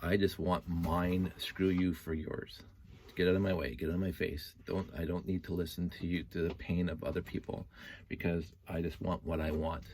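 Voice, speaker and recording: A man's voice: sits low, flat delivery, moderate pace, darkish tone, slight background noise. A man in his fifties giving a casual, opinionated monologue, earnest and often interrupting himself as he thinks. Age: 50s. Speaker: host of a story-time monologue.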